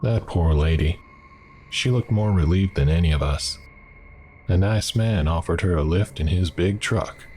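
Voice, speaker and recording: A man's voice: low voice, a little slow, a little mellow, a little hiss or hum. A man voicing a friendly, chatty diner waitress with a delicate Southern accent who calls customers hon and sweetie. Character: friendly middle-aged female diner waitress.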